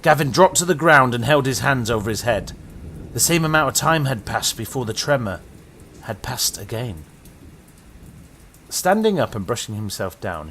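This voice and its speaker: A man's voice: fairly bright tone, slight background noise. Dramatic British man narrating horror. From Britain. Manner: dramatic storytelling with sweeping pitch.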